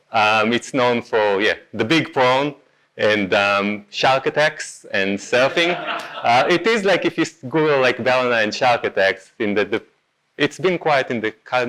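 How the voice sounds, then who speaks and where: A man's voice: medium pitch, a bit bright, rough recording. A man in his thirties with an Israeli accent, giving a casual talk at a meetup, with many ums and self-corrections. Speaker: meetup speaker. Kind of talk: conference talk.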